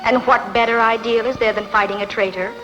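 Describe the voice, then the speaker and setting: A woman's voice: fairly high pitch, steady tone, fairly quick, lo-fi sound, over music, natural voice. A woman playing an imperious, ruthless queen in a film dub, with a commanding regal delivery. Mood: regal, assured. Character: imperious, ruthless queen pining for lost lover.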